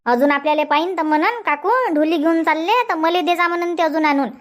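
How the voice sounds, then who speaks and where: High-pitched nagging Marathi village housewife, as an actor plays her. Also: steady tone, fairly quick, lightly acted. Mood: gossipy. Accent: rural Vidarbha Marathi. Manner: nagging, chattering, insistent. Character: nagging, chatty, stubborn village housewife in a comedy cartoon. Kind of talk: cartoon comedy dialogue.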